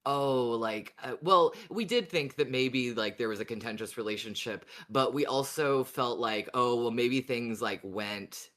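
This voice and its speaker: A man hosting a podcast in a casual discussion, talking in a thoughtful, halting way with repeated words. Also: mid-range voice, fairly bright tone. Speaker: podcast host.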